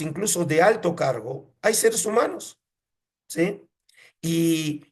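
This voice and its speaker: A man with an Argentine accent telling anecdotes in conversation as an interview guest, in an emphatic way with frequent tag questions. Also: sits high. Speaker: museum curator, interview guest.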